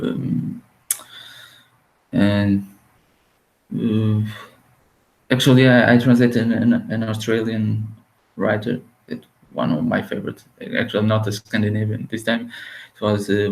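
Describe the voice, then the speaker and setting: A man with Portuguese as his first language speaks English in a halting, searching way, often restarting his sentences. His voice sits low and carries a gravelly edge. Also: level tone, low-quality recording. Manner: halting, many restarts and fillers, laughs often. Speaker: guest author and translator. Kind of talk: casual podcast interview.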